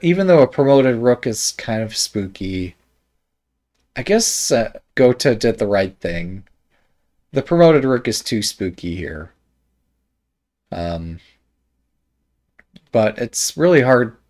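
A man reviewing a board game, thinking aloud in a hesitant, musing way with many pauses and trailing thoughts, with a slight Midwest American accent. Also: mid-range voice, darkish tone. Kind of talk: casual game commentary while thinking aloud.

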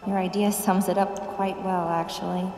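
An actress playing a frightened, despairing young castaway in a reverberant horror audio drama. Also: female voice, mid-range voice, flat delivery, moderate pace, dark tone, soft background music, close to an everyday voice. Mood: wistful.

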